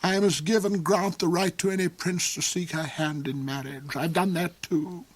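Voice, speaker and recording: A man voicing a cold, cruel duke in a storybook reading, with shrill, theatrical outbursts. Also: fairly even intonation, normal speed, breathy edge. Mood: brooding.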